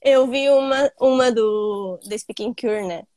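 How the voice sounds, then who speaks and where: A woman student talking casually with her teacher, agreeable and quick to laugh. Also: fairly high pitch, darkish tone, dry recording. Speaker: student guest. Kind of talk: casual conversation.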